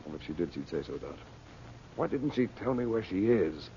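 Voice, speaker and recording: Earnest low-voiced radio serial hero. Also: male voice, animated, moderate pace. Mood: troubled, determined. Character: Uncle Frank, earnest, determined mystic adventurer. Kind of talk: old-time radio serial acting. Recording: dull, noisy vintage radio recording.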